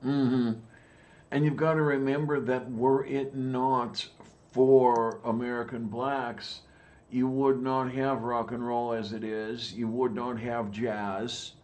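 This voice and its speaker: An easygoing man talking at a slow, unhurried pace in a mid-range voice. He chats on a podcast in an agreeable, relaxed way, often nodding along to his co-host. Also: medium pitch. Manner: easygoing, agreeable, chatty with loud laughs. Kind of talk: casual chat.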